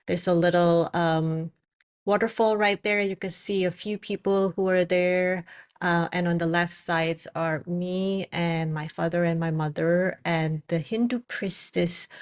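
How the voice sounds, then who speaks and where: Indonesian-accented woman, lowish voice. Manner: hesitant, many fillers and restarts. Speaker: spiritual coach and psychic reader. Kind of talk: casual spoken video message.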